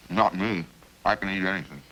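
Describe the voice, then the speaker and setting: An actor in a 1950s radio crime drama playing a chatty killer who confesses in a casual, folksy, matter-of-fact way. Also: male voice, deep voice, fairly quick, pushed and bright, poor audio. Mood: eager, chatty. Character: chatty, hungry male killer calmly confessing over supper. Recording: hissy old-time radio recording.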